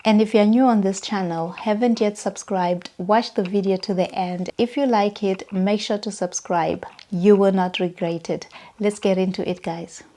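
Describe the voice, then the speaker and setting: A woman's voice: mid-range voice, steady tone, moderate pace, hardly any pauses, dark tone. A woman in her thirties with a Southern African accent, talking to camera in a personal vlog. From Zimbabwe and South Africa. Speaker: vlogger. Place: bedroom, indoors.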